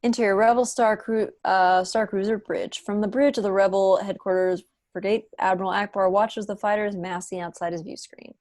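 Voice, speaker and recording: A woman's voice: mid-range voice, fairly even intonation, normal speed, hardly any pauses, darkish tone, no echo. A young woman reading screenplay stage directions as scripted narration on a video call from home. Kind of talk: scripted narration at a table read.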